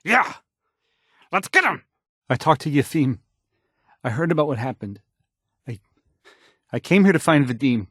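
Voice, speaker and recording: A man's voice: a little high, expressive, unhurried, long pauses, a bit bright, breathy edge, dry recording, studio quality, heavily acted. An actor playing a timid, awkward young male radio DJ in a video game, stammering, hesitant and apologetic. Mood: shaky resolve. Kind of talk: post-apocalyptic video game voice acting.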